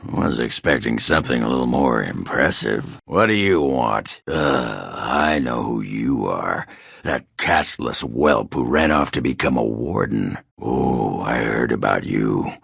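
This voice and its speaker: A surly, hard-drinking male dwarf warrior from a fantasy video game. His voice is extremely low and thick with gravel, recorded close and dry. He grumbles bluntly, with coarse, sardonic bite. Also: lively intonation, a little slow, fairly bright tone, no echo, full character voice. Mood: gruff challenge, then scornful. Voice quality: very deep, gravelly, rough. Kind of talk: video game character dialogue.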